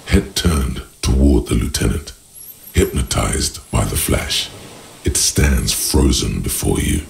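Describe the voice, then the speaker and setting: Gravelly, extremely deep, brooding narrator. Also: male voice, expressive, slow pace, slight background noise, full character voice. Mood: hushed, awed. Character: brooding, literary inner-voice narrator of a detective game. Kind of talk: scripted second-person game narration. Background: music.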